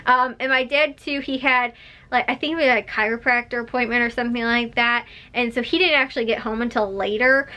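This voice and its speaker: A young woman talks straight to camera in a loose, meandering way, stacking "like" and "um" as she goes. Her voice is bright and sits a little high, with a slight roughness after coughing. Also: fairly even intonation, a little fast. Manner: rambling, chatty, full of fillers and laughs. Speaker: vlogger. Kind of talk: casual chat to camera.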